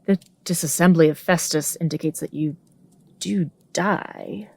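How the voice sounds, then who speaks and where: An actress plays a queen in a fantasy radio play. She is earnest and firm, with a slightly low voice, plain-spoken and unpretentious, recorded dry. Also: unhurried, creaky, a little mellow, husky, dry recording, heavily acted. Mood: earnest, curious. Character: earnest, unpretentious warrior queen, impatient with ceremony. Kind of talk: fantasy audio drama acting.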